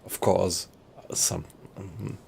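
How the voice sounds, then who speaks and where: A middle-aged man from Germany with a German accent, chatting in a friendly, halting way with viewers on a live stream. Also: sits low, steady tone, gravelly, dark tone, slight background noise. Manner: thoughtful, friendly, halting with fillers.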